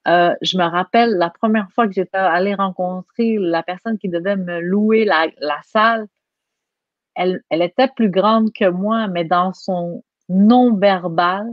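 A woman's voice: on the low side, a little slow, no room sound. Earnest Québécois woman on lo-fi audio. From Quebec. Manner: earnest, emphatic. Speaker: guest. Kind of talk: conversational interview.

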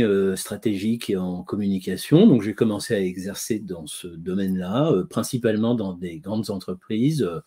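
Guy in his fifties on a video call, low husky voice, lots of ums, slow and searching. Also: mellow. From France. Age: 50s. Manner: many drawn-out "euh" fillers, slow and searching. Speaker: guest speaker. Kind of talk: hesitant conversational storytelling.

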